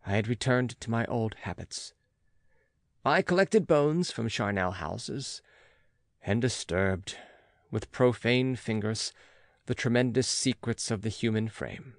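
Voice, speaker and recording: A man narrating classic literature as an audiobook, reading in a measured, formal manner. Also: medium pitch, no room sound, studio quality. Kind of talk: scripted literary narration of a classic gothic novel.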